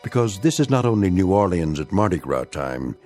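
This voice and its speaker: An ominous radio drama narrator with a deep voice. He is measured, wry and portentous, moving his pitch expressively over faint music. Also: big pitch swings, warm tone. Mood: grave, portentous. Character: ominous, grave, omniscient male storyteller.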